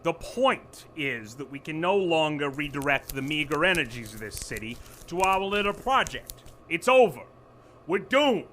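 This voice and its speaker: Sardonic harried boss, higher-pitched voice, animated, urgent and exasperated, audio drama. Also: male voice, normal speed, slight background noise. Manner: sarcastic, urgent, commanding. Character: exasperated, sardonic male company executive under pressure.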